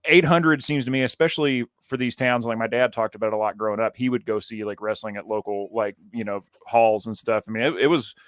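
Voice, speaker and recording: A man's voice: medium pitch, a little fast, hardly any pauses, no room sound. Rambling man, close dry mic. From Kentucky, USA. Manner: relaxed, rambling, swears casually. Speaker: podcast co-host. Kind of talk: casual chat.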